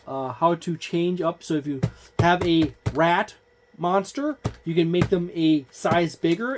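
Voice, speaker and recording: A man's voice: a little high, darkish tone. A man giving an unscripted review of a tabletop game book, meandering and thinking aloud as he goes.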